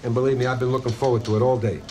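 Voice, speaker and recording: An actor playing a wry, streetwise New York police lieutenant in a 1970s audio drama, blunt and wisecracking. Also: male voice, medium pitch, flat delivery, racing, dark tone, faint music behind, natural voice.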